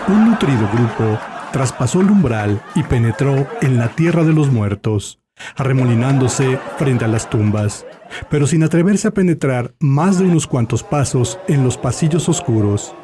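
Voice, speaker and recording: A man's voice: mid-range voice, lively intonation, normal speed, noisy background. A man reading dramatic scripted audiobook narration. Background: background music.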